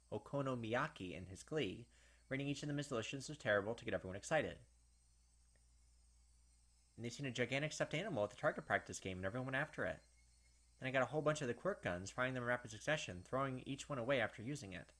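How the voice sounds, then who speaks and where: A man hosting a let's-play, switching between reading story text aloud and animated, puzzled commentary on it. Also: mid-range voice, quick pace. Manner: animated, puzzled reactions and speculation, mimicking characters. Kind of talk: gameplay commentary mixed with reading visual novel text aloud. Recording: music playing behind the voice. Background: game background music.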